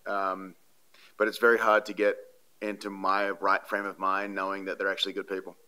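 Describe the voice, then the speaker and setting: A man's voice: low-pitched, completely flat delivery, normal speed, pushed and bright, smooth, rough recording. A man, answering reporters' questions at a podium in a press room, rambling and earnest with many fillers and restarts. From Australia. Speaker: baseball pitcher at a postgame press conference. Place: press room before a sponsor backdrop. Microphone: podium microphones.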